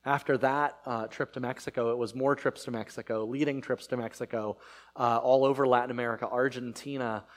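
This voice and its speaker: A man's voice: medium pitch, fairly even intonation, a little fast. A man in his thirties, a pastor whose first language is Spanish, giving a casual, conversational sermon with many fillers and restarts.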